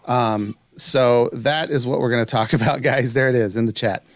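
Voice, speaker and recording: A man's voice: medium pitch, steady tone, normal speed, no echo. A man hosting a podcast in a casual, unscripted chatting style. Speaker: podcast host.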